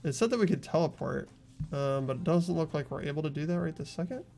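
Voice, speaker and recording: A man's voice: fairly high pitch, fast, vocal fry. A man hosting a game playthrough with friends, chatting casually and thinking aloud. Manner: relaxed, easygoing, thinking aloud. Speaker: host playing a game with friends. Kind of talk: casual gameplay chat.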